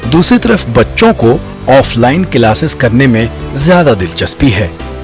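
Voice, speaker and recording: A man's voice: medium pitch, big pitch swings, measured pace, faint music behind. A man reading scripted news narration in a formal register.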